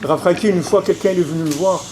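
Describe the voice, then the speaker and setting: A man's voice: high voice, fast. A man, a rabbi, giving a conversational public lecture, often repeating and restarting his words and checking that listeners follow. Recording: noisy lecture recording.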